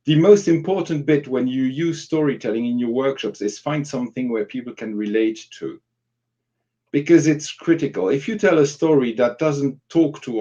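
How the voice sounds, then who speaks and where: A man's voice: medium pitch, mellow, highs cut off. A man giving informal, explanatory advice as a workshop facilitator in a live online discussion, illustrating points with example questions.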